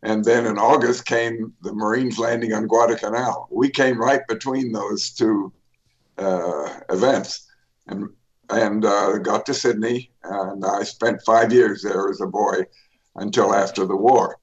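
An older man, an author, telling his life story in a radio interview, pausing often with fillers and restarts. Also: on the low side, fairly even intonation, lo-fi sound. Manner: rambling, many fillers and restarts. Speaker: author guest.

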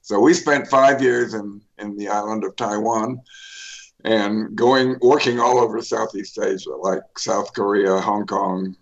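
An older man, an author, telling his life story in a radio interview, pausing often with fillers and restarts. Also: on the low side, fairly even intonation, muffled recording. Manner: rambling, many fillers and restarts. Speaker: author guest.

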